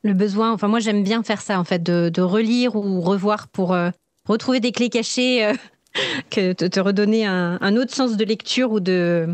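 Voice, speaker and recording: A woman's voice: medium pitch, normal speed, dry recording. A woman speaks as a podcast interviewer. Her delivery is casual and informal, feeling her way through each thought, in a close, dry sound.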